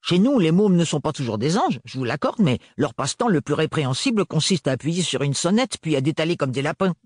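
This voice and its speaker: A man voicing a prim, irritable older aunt who speaks in an indignant, sharp way. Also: on the high side, big pitch swings, a little fast, slightly rough, no room sound, studio quality, pitched above the performer's own voice.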